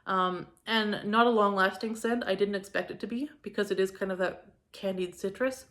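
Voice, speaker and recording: Chatty hoarse woman reviewing scents. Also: medium pitch, steady tone, normal speed, fairly bright tone. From Canada. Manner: chatty, gushing, full of likes and ums. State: slightly hoarse from a cold. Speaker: home fragrance reviewer. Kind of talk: casual product review vlog.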